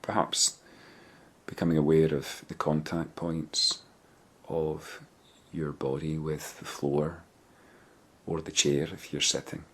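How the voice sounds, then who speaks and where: Man, very deep pitch, husky edge, meditation guide, gentle measured phrasing, frequent pauses. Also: little pitch movement. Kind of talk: guided body scan meditation.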